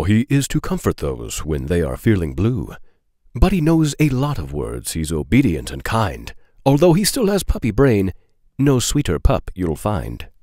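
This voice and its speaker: Animated low-voiced man reading a storybook. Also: dry recording, studio quality. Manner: expressive, playful emphasis. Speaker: read-aloud host.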